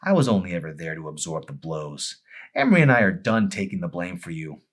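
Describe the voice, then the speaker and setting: A man's voice: low-pitched, big pitch swings, fairly quick. A man voicing a bitter, fed-up wife in an audiobook, cutting, sarcastic and emphatic. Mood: fed up, accusing. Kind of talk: dramatic audiobook character acting.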